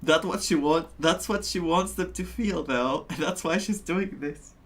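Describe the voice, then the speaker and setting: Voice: medium pitch, a little slow. A game streamer giving casual live reactions and commentary on a story.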